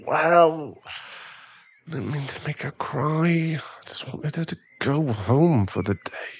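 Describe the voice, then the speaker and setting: A man playing an irritable, weary sugar daddy boyfriend who wants space, in a halting, sighing ASMR roleplay audio drama. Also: mid-range voice, gravelly, husky, exaggerated voice. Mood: regretful.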